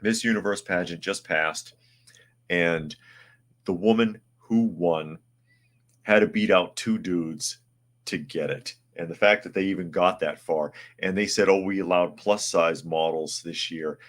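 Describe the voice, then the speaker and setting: A man's voice: low-pitched, a little slow, a bit bright. A man hosting a live news podcast, giving sarcastic, opinionated commentary while reading articles aloud and answering viewer comments. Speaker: podcast host.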